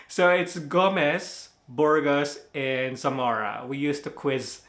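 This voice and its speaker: A man's voice: fairly high pitch, fairly even intonation, slow pace, fairly bright tone, echoey room. A man from the Philippines with a Filipino accent, giving a casual spoken movie review with lots of fillers and self-corrections. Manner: rambling, many fillers, laughs at own slips.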